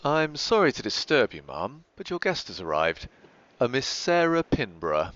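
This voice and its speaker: A man acts out a long-suffering butler for a podcast skit. He is solemn and theatrically deferential, his pitch swooping widely. The recording is dull and lo-fi. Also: sing-song, normal speed, a bit bright, slight background noise. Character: formal, long-suffering butler who dislikes cats.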